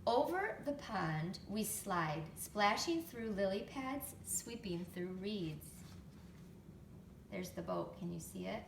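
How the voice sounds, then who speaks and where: A woman reading a picture book to young children expressively, pausing to explain things and ask them questions. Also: medium pitch, expressive, creaky. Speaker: storytime reader, teacher to young children. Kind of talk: picture book read-aloud with chatty asides. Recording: roomy, distant mic, faint background noise.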